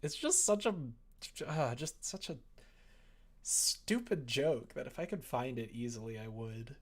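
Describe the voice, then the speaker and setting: A man's voice: medium pitch, animated, measured pace. Young man chatting casually on a livestream. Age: young adult. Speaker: streamer. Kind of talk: casual livestream chat and reading aloud.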